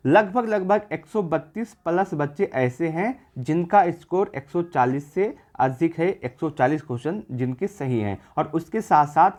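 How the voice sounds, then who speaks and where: A man's voice: a little high, lively intonation, measured pace, few pauses, a little mellow. A man presenting an exam-prep video, explaining figures informally in an assured, repetitive way. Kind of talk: informal explanatory data commentary.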